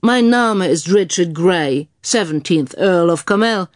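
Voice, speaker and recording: A woman's voice: fairly low pitch, lively intonation, takes it slowly, dry recording, clean studio sound. A woman voicing a hearty, eccentric English earl in an audio drama, barking jovially in broken German with an English accent. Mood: jovial, effusive. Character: boisterous, eccentric English earl, hearty red-haired man.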